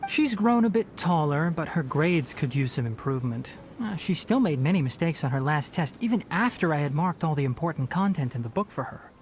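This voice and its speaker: A man voicing a gentle but frank young forest ranger in an anime game, articulate, instructive and mildly chiding. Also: fairly high pitch, animated, brisk, few pauses, slight background noise, natural voice. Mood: caring, frank.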